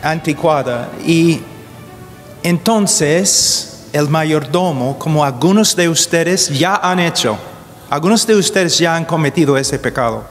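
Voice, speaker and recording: Slow, halting man preaching in a hall. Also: a little high, lively intonation. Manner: deliberate, halting, searches for words; grows urgent and emphatic. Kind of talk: sermon. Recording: long hall reverb, faint music.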